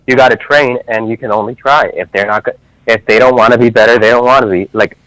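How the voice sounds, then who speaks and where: Soft-spoken male caller from work. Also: medium pitch, steady tone, fast, no echo, rough recording.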